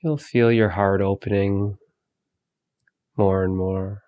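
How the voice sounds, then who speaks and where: A man leading a guided meditation, giving calm, soothing, gentle instructions with long pauses between phrases. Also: fairly low pitch, no room sound, muffled recording.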